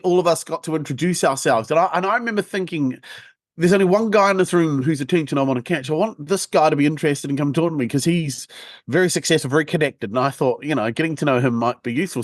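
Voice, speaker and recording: A man's voice: fairly high pitch, no room sound, clean studio sound. A man in his forties from New Zealand with a New Zealand accent, a sales trainer telling stories in a brash, joking way as an interview guest. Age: 40s. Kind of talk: casual interview chat.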